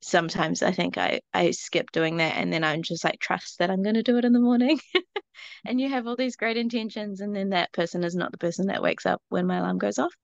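A woman's voice: mid-range voice, flat delivery, brisk, gravelly, dry recording, highs cut off. A woman from New Zealand with a New Zealand accent, talking candidly on a phone in a coaching group call, rambling with many ums. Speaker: coaching client.

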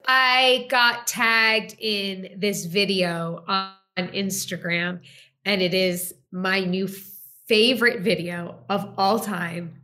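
A woman's voice: medium pitch, fairly even intonation, takes it slowly, pushed and bright. A woman co-hosting a podcast, gushing with enthusiasm. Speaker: podcast co-host. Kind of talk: casual podcast chat.

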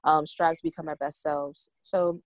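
A woman's voice: low voice, flat delivery, moderate pace, dry recording. A woman hosting a conversational podcast interview, speaking in an effusive, rambling way. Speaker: podcast host.

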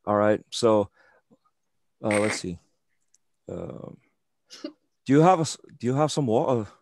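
A man's voice: lowish voice, lively intonation, unhurried, darkish tone, slight background noise. A man hosting an interview, telling rambling anecdotes full of restarts. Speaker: interview host. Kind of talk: casual interview chat over a call.

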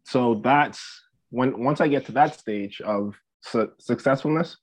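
A man's voice: mid-range voice, a little slow, breathy edge. A man in his twenties from the Bahamas talking casually as a podcast guest, hesitant and repeating phrases as he thinks. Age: 20s. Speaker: podcast guest, student athlete and entrepreneur. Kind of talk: casual interview talk. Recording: dull lo-fi audio.

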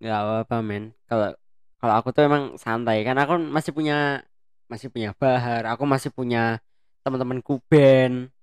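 A man's voice: medium pitch, fairly even intonation, normal speed, smooth, no room sound. Playful young man chatting, dry mic. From Bandung, Indonesia. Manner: playful, laughs often, slangy. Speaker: co-host. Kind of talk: casual podcast chat.

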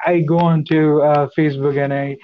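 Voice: medium pitch, flat delivery, moderate pace, lo-fi sound, a little hiss or hum. A co-host of a live online show, introducing a guest with effusive praise and many fillers, over a video call.

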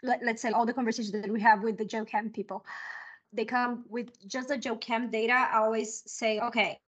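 A woman's voice: fairly high pitch, fairly quick. A woman from Brazil in her thirties, speaking English with a Brazilian Portuguese accent, telling stories with enthusiasm as a podcast guest. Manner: animated, enthusiastic storytelling. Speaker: guest, 3D modeling specialist. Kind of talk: casual podcast chat.

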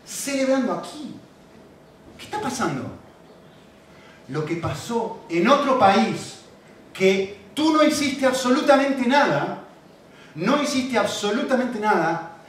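High-voiced preacher in an echoey hall. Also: male voice, slight background noise. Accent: Rioplatense Spanish. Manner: animated, repetitive, asks the congregation questions. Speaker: pastor. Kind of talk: sermon. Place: church. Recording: very echoey hall, mic at a distance.

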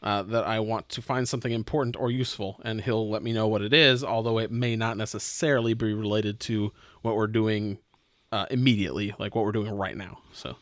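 A man's voice: on the low side, fairly even intonation, brisk, no echo, studio quality. A man chatting casually as a player on a role-playing game podcast. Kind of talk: casual tabletop game chat.

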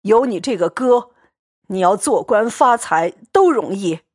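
A woman voicing a drunk, boisterous young nobleman who fawns loudly and slurs. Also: medium pitch, lively intonation, measured pace, dry recording, clean studio sound. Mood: drunk, fawning. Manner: loud, fawning and slurring.

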